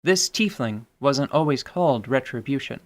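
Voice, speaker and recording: A man giving wry, measured scripted narration of a fantasy tabletop story. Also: mid-range voice, animated. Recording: music underneath.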